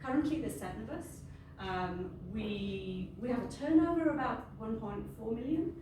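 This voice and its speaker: A woman's voice: a little high, animated, moderate pace, darkish tone. A middle-aged Welsh woman whose first language is Welsh, giving a friendly, unscripted talk to a group. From Wales. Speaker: industry panel speaker. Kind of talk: informal presentation to a group. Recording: very echoey room, distant mic, ambient noise.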